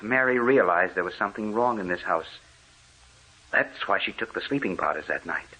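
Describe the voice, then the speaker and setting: Tormented ghost, husky. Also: male voice, lowish voice, sharp tone, full character voice. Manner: brooding, melodramatic. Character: tormented male ghost, anguished and doom-laden. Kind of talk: 1930s-40s radio horror monologue. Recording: dull, muffled old radio recording.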